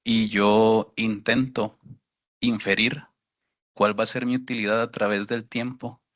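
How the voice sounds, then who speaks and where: A man's voice: lowish voice, little pitch movement, measured pace, fairly bright tone. A man from Costa Rica asking a question at a workshop, hesitant and searching for words. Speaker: workshop participant. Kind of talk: audience question.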